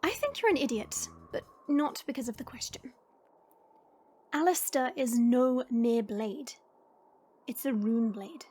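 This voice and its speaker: A woman playing a stern, proud but caring fantasy warrior, speaking in a measured, formal and dry way. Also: high-pitched, warm tone, slightly rough. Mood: calm, instructive. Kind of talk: fantasy audio roleplay acting.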